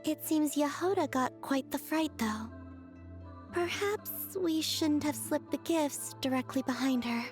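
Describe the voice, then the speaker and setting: A woman voicing a serene, polite young moon maiden in a video game, with a soft, airy voice and a gentle, measured, formal way of speaking. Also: high-pitched. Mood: calm. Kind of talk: scripted video game voice acting. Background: faint music.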